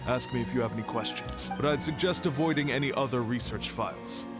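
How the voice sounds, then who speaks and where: A man playing a serious, guarded scholar in a video game, speaking in a measured, formal way. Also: medium pitch, music in the background, lightly acted. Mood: guarded, stern. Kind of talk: video game character acting.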